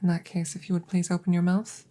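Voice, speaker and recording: Alien scientist, played by a woman: female, hushed ASMR delivery, formal and curious, low mellow voice, close mic. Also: flat delivery, lightly acted. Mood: curious, clinical. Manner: measured, formal, deliberate. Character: calm, clinical, curious female alien scientist examining a visitor. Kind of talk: ASMR roleplay, close whispers and soft speech. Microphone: close microphone.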